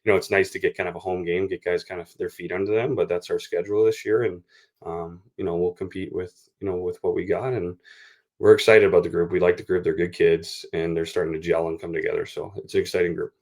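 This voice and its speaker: A man with a low voice that stays fairly flat. He speaks like a coach in a sports interview, measured and explanatory, dropping in "you know" as he works through his points. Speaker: baseball coach being interviewed.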